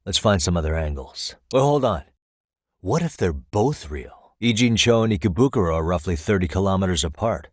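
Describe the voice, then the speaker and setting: A male actor voicing a composed, determined private detective in a video game, with a measured, probing delivery. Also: deep voice, lively intonation, no room sound, studio quality. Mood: focused, determined. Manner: measured, probing, matter-of-fact. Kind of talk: English video game dialogue dub. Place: dry recording studio.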